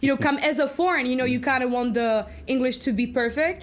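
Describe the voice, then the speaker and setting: A young woman from the Czech Republic with a Czech accent, a guest chatting casually on a podcast and laughing often. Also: high voice. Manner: chatty, laughs often, quick rambling asides.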